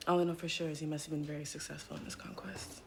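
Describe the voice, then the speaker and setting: An actress playing a gossipy, eager bank secretary, prim and confiding, in a period drama. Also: female voice, low voice, flat delivery, very fast, creaky, slight background noise. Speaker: actor.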